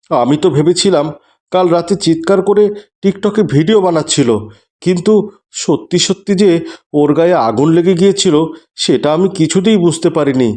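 Cartoon husband and father played by a man: devout, stern, exasperated, slightly high voice, melodramatic. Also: darkish tone, lightly acted. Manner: scolding, exasperated, pleading.

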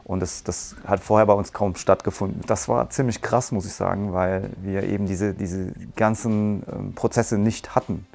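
A man in his forties, a martial arts school owner, earnestly giving a testimonial on a lapel mic in a bright room. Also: lowish voice, steady tone, normal speed, creaky, hardly any pauses. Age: 40s. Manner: earnest, explains at length with restarts. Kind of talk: testimonial interview. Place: bright room with curtains, standing.

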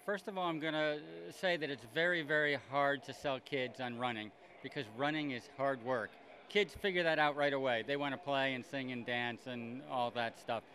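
A man's voice: mid-range voice, moderate pace, bright, forceful tone, faint music behind. Direct, emphatic man. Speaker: interviewee. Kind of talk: documentary interview.

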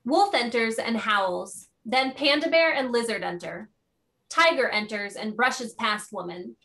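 A woman's voice: fairly high pitch, a little slow, pushed and bright, poor audio. A woman giving scripted narration, reading out the stage directions of a play.

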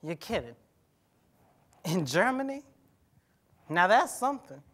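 A defiant, wounded teenage boy played by a young man speaks from a stage in a high voice. His delivery is folksy and halting, with emphatic bitterness, as in a dramatic play reading. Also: big pitch swings, fairly bright tone, full character voice. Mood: wry, skeptical. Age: young adult. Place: theatre-like stage in a conference hall. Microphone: lapel.

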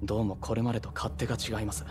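A man's voice: on the low side, level tone, very fast, background noise, lightly acted. A man playing a dutiful, deferential lieutenant reporting politely and formally in a Japanese video game drama. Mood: dutiful, cautious.

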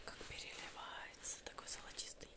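A young woman whispers softly and breathily in an ASMR roleplay as a witch seamstress, murmuring reassuringly to her client close to the microphone. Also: a little fast. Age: young adult. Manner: soft, murmuring, reassuring, addresses the listener as a client. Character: witch seamstress fussing over fabrics for a client. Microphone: close microphone, facing camera.

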